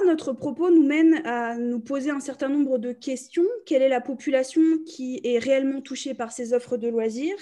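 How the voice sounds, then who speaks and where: A woman's voice: high-pitched, warm tone. Hesitant young woman presenting research. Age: young adult. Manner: reads from prepared text with frequent hesitations. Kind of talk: academic seminar presentation.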